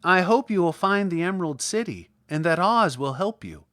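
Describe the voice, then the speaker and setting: A man voicing a kind, brisk, motherly stork in a storybook, with a matter-of-fact, helpful manner. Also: high voice, lively intonation, pushed and bright, no echo, studio quality. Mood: kindly.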